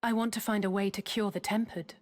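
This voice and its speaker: An actress playing a determined, proud young heroine in a fantasy video game, speaking in a direct and understated way. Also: female voice, mid-range voice, fairly even intonation, fast talker, close to an everyday voice. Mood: resolute. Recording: music behind the voice. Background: game music.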